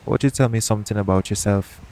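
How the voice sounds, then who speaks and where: Man acting as roguish pirate captain, deep voice, colloquial, frank, gently teasing, intimate audio roleplay, noisy ambient background. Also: quick pace, close to an everyday voice. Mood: teasing, firm. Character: shady, roguish male pirate captain, jaded yet tender romantic. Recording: clear background noise, ambient sound bed.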